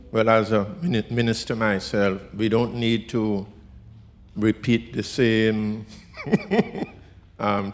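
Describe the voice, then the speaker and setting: Slow, low-voiced man, earnest and halting. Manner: halting, heartfelt, with many restarts. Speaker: minister sharing with the congregation. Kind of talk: personal reflection at a service. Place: church service.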